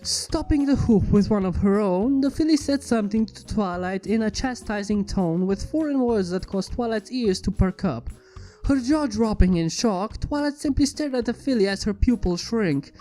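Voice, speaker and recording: A woman's voice: medium pitch, animated, normal speed, dark tone, poor audio. A woman reading a scripted fan fiction story as its narrator. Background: background music.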